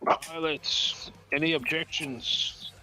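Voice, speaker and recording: Male race host calling out pilots, slow and unhurried, high bright voice. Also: steady tone, rough recording.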